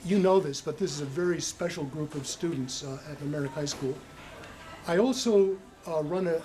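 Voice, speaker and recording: A man's voice: on the high side, poor audio. An older retired man giving an earnest short statement as a school board candidate, with many "uh" fillers. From United States. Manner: earnest, steady, with frequent "uh" fillers.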